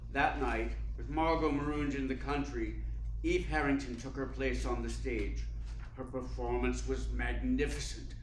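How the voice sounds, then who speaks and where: An actor playing an urbane, cunning theater columnist in a radio play, speaking in a suave, deliberate, formal way. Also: male voice, medium pitch, steady tone, lightly acted. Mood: arch, intrigued. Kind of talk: radio play narration and dialogue. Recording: roomy echo, faint background noise.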